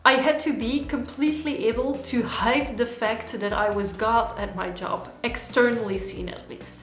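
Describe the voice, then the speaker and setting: A woman's voice: on the high side, fairly bright tone. Woman vlogging in an echoey room. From Belgium. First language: Dutch. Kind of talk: personal monologue to camera.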